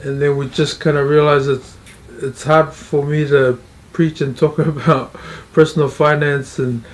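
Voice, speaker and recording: A man's voice: a little high, flat delivery, measured pace. A middle-aged man, earnestly sharing his experience in an interview, often repeating and restarting phrases. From Tonga, raised in New Zealand. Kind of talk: testimonial interview.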